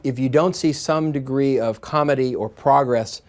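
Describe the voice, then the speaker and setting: A man speaking as an interviewed expert, deliberate and slightly halting. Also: medium pitch, darkish tone.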